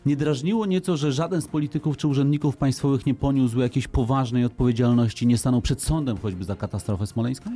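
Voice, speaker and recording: A middle-aged man hosting a radio interview in a studio, probing his guest and cutting in with quick follow-up questions. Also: medium pitch, dark tone. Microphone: studio microphone with headphones.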